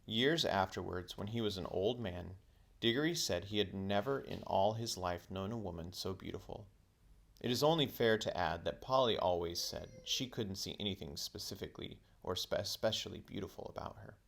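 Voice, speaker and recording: A man reading a children's book aloud as a teacher would, in expressive storybook narration. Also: deep voice, normal speed, gravelly.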